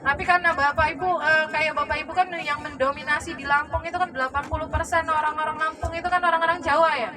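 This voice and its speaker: High-voiced young woman guiding a bus tour. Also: fairly even intonation, fast talker, hardly any pauses, over music. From Palembang, Indonesia. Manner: chatty, keeps quizzing and checking in with the group. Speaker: tour guide. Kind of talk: guided tour talk to a pilgrim group. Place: inside a moving tour bus. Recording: distant voice over bus and crowd noise. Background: passengers chatting and road noise.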